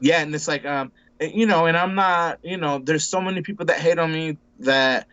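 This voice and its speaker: A man's voice: a little high, moderate pace, a bit bright, clean tone, dry recording. Rambling man in his forties, dry lo-fi sound. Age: 40s. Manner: rambling, repeats words and restarts often; laid-back, says bro and dude a lot. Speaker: interview guest. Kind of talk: casual conversation.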